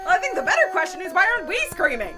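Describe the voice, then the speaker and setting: A woman's voice: extremely high pitch, big pitch swings, rapid-fire, over loud music. A woman playing a frantic but upbeat young adventurer in an audio drama, flustered and reassuring. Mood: urgent.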